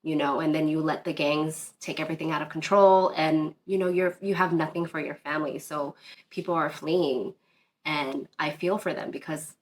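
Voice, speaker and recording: A woman's voice: low voice, fairly even intonation, normal speed, slight background noise. A woman from Northern Virginia, a DJ guest, telling a personal story in a casual interview, earnest and rambling with many fillers and restarts.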